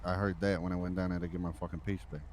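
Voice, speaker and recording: Gruff mobster, male player: deep, rambling, sweary storytelling, light noise behind, improvised roleplay. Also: level tone, very fast, darkish tone, natural voice. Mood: grumbling. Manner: profane, rambling. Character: gruff male New York mobster swapping news. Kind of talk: improvised crime roleplay on a livestream.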